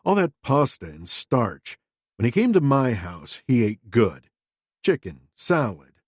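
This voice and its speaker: A man's voice: medium pitch, wildly swooping pitch, a little slow, breathy edge, no echo, studio quality, heavily acted. A man voicing a crude, defensive middle-aged father who talks in a blunt, profane, self-justifying way. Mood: gruff, candid.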